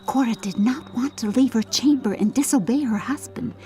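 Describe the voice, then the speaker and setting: A woman narrating a fairy-tale audiobook in a measured, expressive storytelling style. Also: a little high. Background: music.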